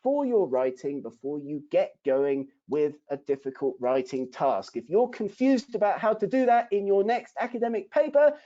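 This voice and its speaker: A man's voice: high-pitched, expressive, moderate pace, hardly any pauses, warm tone. A man teaching academic writing in a webinar, speaking emphatically and asking the audience rhetorical questions. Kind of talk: webinar lesson. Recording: dull lo-fi webinar audio.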